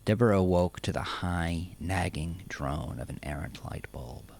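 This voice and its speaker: Very deep, gravelly male storyteller. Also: moderate pace, darkish tone. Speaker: audiobook-style narrator. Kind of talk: dramatic scripted dark fiction narration. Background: music.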